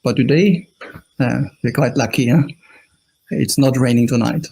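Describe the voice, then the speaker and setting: A man with an Austrian accent and a slightly husky voice at a middle pitch. He teaches as a Buddhist monk in an informal, conversational way, checking in with his online listeners as he goes. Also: moderate pace, darkish tone, low-quality recording. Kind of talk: informal dhamma talk to an online audience.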